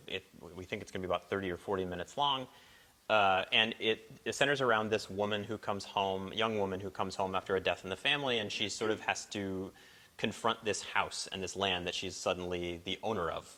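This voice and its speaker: Low-voiced man with a bright, forceful edge. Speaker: performer introducing an audio play. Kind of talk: casual spoken introduction to a live performance. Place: barn, small live gathering.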